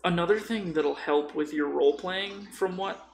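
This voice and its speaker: Man, slightly high creaky voice, casual podcast chat, rambling, sweary, faint background noise. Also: moderate pace. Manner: informal, rambling, peppered with swearing. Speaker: podcast co-speaker. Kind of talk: casual podcast chat about tabletop role-playing.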